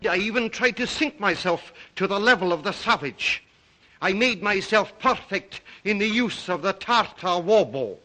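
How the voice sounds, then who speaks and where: An actor playing a suave, obsessive Russian count and hunter, speaking with a Russian accent in a deliberate, theatrical, old melodrama manner. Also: male voice, sits high, animated, bright, forceful tone, poor audio. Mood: brooding, intense. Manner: theatrical, deliberate, brooding, courtly. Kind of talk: 1930s film-era melodramatic acting.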